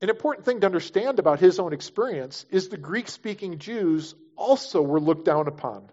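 A pastor delivering a sermon in an easy, conversational way, slipping into little voices for the people he quotes. His voice sits a touch high for a man. The recording is dull and lo-fi. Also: a little mellow.

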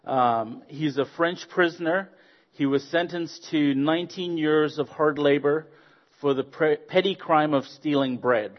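A man from Zambia, a guest preacher giving a friendly sermon with stories, joking and pausing on fillers. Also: a little high, dull audio. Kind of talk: sermon with storytelling.

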